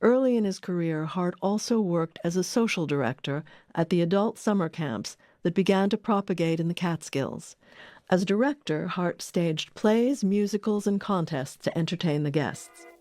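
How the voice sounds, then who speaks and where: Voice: mid-range voice, expressive, moderate pace, a little mellow, no echo, studio quality. A documentary narrator reading a scripted history.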